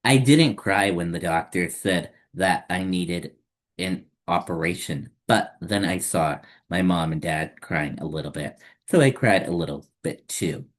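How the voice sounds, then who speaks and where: Children's storytime reader: man, low register, unhurried delivery, read-aloud picture book narration.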